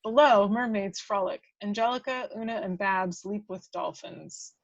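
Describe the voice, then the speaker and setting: A woman reads out screenplay action in a medium-pitched voice. She serves as the narrator of an amateur script reading, delivering scene descriptions and stage directions. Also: moderate pace, vocal fry, dry recording, lo-fi sound.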